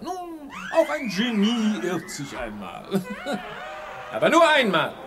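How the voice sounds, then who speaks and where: An actor playing a gloating mad genius villain in a children's sci-fi radio drama, mock-courteous and theatrical. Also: male voice, sits high, wildly swooping pitch, takes it slowly, crowd noise, heavily acted. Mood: gloating, menacing.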